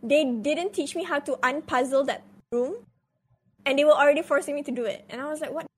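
A woman's voice: high voice, a little fast. A young adult woman, a guest on a live stream, chatting casually and swearing as she tells a story.

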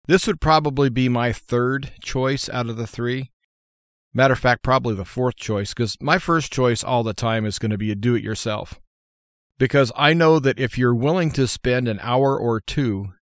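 An American man with a medium-pitched voice talks through practical advice as a show host. His delivery is informal and plainspoken, with a folksy, explanatory feel. The recording is very dry, with no room sound. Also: normal speed, no echo. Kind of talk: informal how-to monologue.

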